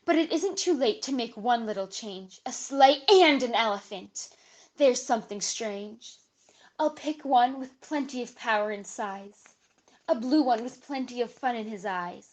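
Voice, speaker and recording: A woman with a notably high voice performs as a guest storyteller. She reads expressively, with a rhythmic storybook delivery meant for children. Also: lively intonation, a little slow, darkish tone. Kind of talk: dramatic reading of a children's story.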